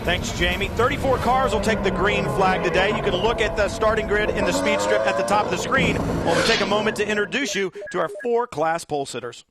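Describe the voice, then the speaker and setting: A high-pitched male announcer anchoring a sports car race broadcast. He tosses smoothly to his reporters and gets louder and more excited as the green flag drops. Loud music plays under his voice. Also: fairly quick. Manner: smooth hand-offs, rising to excited calls at the start. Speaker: lead play-by-play announcer.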